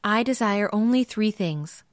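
A woman's voice: medium pitch, lively intonation, a little slow, no echo, clean studio sound, natural voice. A woman voicing a brave, earnest young peasant girl in a storybook tale.

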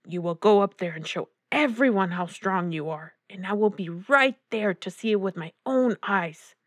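Woman voicing a gentle, encouraging uncle. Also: mid-range voice, moderate pace, dry recording, clean studio sound, lightly acted. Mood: tender, encouraging. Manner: tender, reassuring, mixes in Spanish endearments.

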